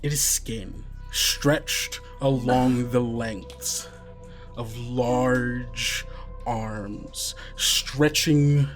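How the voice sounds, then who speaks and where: A man narrating a tabletop game as game master, with suspenseful, deliberate phrasing. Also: mid-range voice, fairly even intonation, soft background music.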